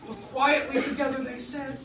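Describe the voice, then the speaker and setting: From a distance in a noisy room comes a woman telling a children's story live. Her voice is high and very expressive, with dramatic delivery and short phrases offered for children to repeat. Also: moderate pace, reverberant. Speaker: storyteller leading a children's puppet show.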